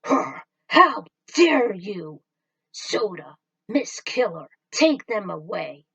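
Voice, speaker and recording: A woman's voice: medium pitch, very animated, takes it slowly, creaky, sharp tone, no room sound, distant mic, lo-fi sound, heavily acted. A woman putting on a sneering alien villain lord. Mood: outraged. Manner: haughty, sneering. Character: menacing male alien crime-lord villain.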